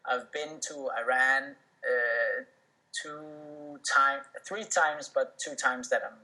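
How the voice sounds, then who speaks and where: A man's voice: medium pitch, fairly even intonation, takes it slowly, pushed and bright, low-quality recording. A young man, a native Danish speaker, answering interview questions casually, with many fillers and restarts. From Denmark. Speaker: professional footballer, interview guest.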